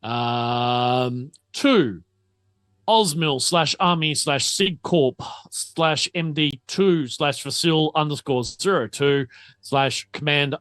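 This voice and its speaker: A man narrating a tabletop RPG as game master, informal and halting in his delivery. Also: medium pitch, a bit bright, no echo.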